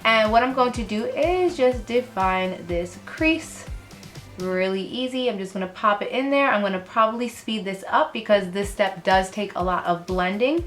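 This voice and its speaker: A young woman beauty vlogger, sick with a rough, lowered voice, chatting casually through a makeup tutorial with fillers and asides, filmed close up in her bedroom. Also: lively intonation, normal speed, a bit bright, faint music behind. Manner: chatty, rambling, fillers, trails off while working. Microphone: camera close up in front of face.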